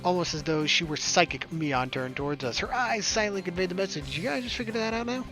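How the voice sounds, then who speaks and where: Higher-pitched male let's player over music. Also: fast. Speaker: let's play streamer. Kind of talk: reading visual novel narration aloud with casual commentary.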